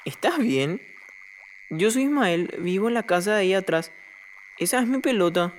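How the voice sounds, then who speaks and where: A woman's voice: mid-range voice, lively intonation, moderate pace, slight background noise, lightly acted. A woman playing a lonely, skeptical ten-year-old boy in an audio drama. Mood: wary, puzzled.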